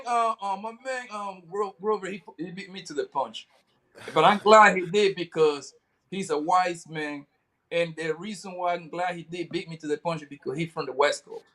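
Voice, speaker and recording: Male panelist, higher voice, emphatic slang, casual. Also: normal speed, sharp tone. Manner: emphatic, repeats himself, heavy slang. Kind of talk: casual street-slang commentary.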